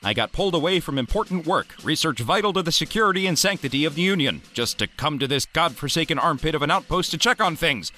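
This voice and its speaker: An actor playing an eager, earnest ghost-hunting scientist in an audio drama, with an enthusiastic manner. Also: male voice, on the high side, lively intonation, quick pace, hardly any pauses, sharp tone, a little hiss or hum. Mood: bluffing authority. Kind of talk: full-cast audio drama acting.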